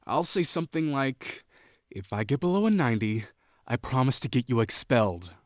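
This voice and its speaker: A man's voice: mid-range voice, very animated, normal speed, no room sound, clean studio sound, natural voice. A young man voicing a prickly, condescending perfectionist classmate in an audio roleplay, talking in a bossy, sarcastic way. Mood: exasperated, heated. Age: young adult. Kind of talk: scripted roleplay acting.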